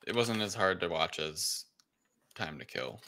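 A man's voice: low voice, lively intonation, measured pace, sharp tone. A man co-hosting a podcast, talking casually as he reviews a film. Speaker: podcast co-host.

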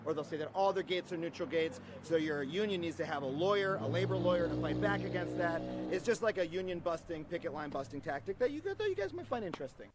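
A man's voice: on the high side, animated, quick pace, hardly any pauses. A man giving a casual, emphatic, off-the-cuff explanation, recorded outdoors on the street. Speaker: picket line explainer. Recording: outdoor street clip with crowd noise. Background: crowd and street noise.

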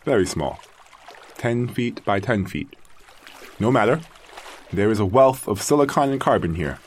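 A man's voice: fairly low pitch, animated, measured pace, a little hiss or hum. A man playing an exiled artificial intelligence in an audio drama, formal and articulate, musing to himself like a wounded, precise inventor. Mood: methodical, aimless. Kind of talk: audio drama acting, log narration.

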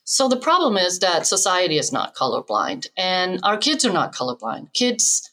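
A woman's voice: lowish voice, very animated, normal speed, bright, forceful tone. A middle-aged woman from Denmark, a psychologist explaining things and telling anecdotes as an interview guest. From Denmark, moved to the US in her twenties. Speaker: expert guest, developmental psychologist.

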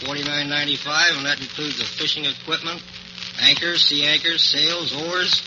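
A man's voice: fairly high pitch, fairly even intonation, normal speed, heavy background noise. An American man giving plain-spoken, matter-of-fact answers as a contestant on a 1940s radio quiz. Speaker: war surplus store owner, quiz contestant. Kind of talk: unscripted radio interview answers. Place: live radio show. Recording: dull old 1940s radio recording.

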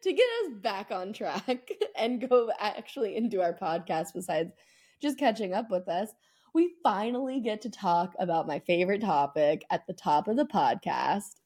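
A woman's voice: mid-range voice, animated, normal speed, darkish tone, slightly rough. A young American woman co-hosting a podcast in casual chat, talking in a chatty, emphatic, slangy way. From United States. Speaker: podcast co-host.

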